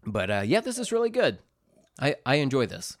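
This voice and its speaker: A man chats as a podcast co-host with lively, swinging intonation. He is enthusiastic and crude in his joking, and the sound is dry and close. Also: mid-range voice, a little fast, dry recording. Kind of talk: casual comedic banter, taste-testing sodas.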